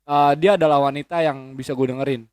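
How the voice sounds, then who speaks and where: A man's voice: mid-range voice, fairly even intonation, fast, darkish tone, clean tone, no room sound. A man, chatting as a guest in a rambling, slangy way with lots of swearing and restarts. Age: 20s. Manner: rambling, frequent swearing and self-correcting hesitations. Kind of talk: casual chat.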